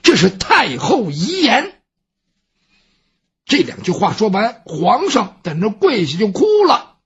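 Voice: mid-range voice, very animated, a bit bright, breathy edge, lo-fi sound, exaggerated voice. 一位说书人扮演一个絮絮叨叨劝慰上司的宫中随从。. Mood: explaining. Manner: brisk, coaxing, plain-spoken. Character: palace servant consoling his superior, chatty.

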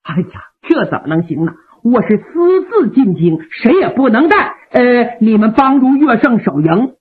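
A woman's voice: on the high side, big pitch swings, normal speed. A woman voicing a middle-aged male general, worried and earnest, scolding his rowdy sworn brothers. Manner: worried, reproachful. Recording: phone-like narrow band.

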